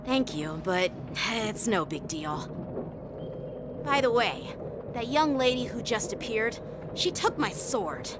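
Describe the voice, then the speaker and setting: A woman's voice: on the high side, big pitch swings, slow, noisy background. A woman playing a courteous, composed young swordswoman in an anime game. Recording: loud music behind dialogue.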